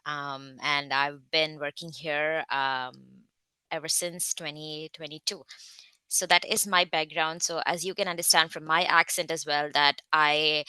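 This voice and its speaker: A woman in her thirties from India with an Indian accent, giving an online guest talk with slides and often pausing on fillers. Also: deep voice, flat delivery, bright, forceful tone, smooth, no echo, low-quality recording. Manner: careful, with frequent hesitant fillers. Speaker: guest speaker, research scientist.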